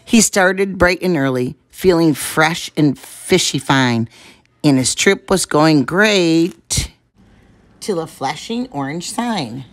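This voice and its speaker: A woman reading a children's picture book aloud, giving the rhymes a measured, expressive delivery. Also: deep voice. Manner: measured, expressive rhyming narration. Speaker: storyteller.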